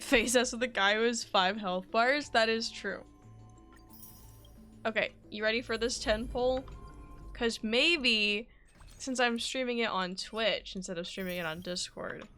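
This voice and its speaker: High bright girl voice, woman streamer chatting casually, dramatic and playful, game music behind. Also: steady tone, moderate pace. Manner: dramatic, playful pleading and exclaiming. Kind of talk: casual live stream reaction chat.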